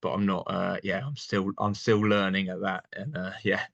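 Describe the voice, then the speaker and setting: A young man from England chatting casually as an interview guest, relaxed and laughing often. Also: low voice, moderate pace, creaky, no room sound, dull audio. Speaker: guest, online seller.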